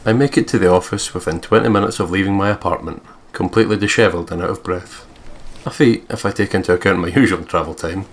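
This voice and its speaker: Low-voiced man reading game narration. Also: fast. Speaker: let's play co-host. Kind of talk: reading game narration aloud with joking asides.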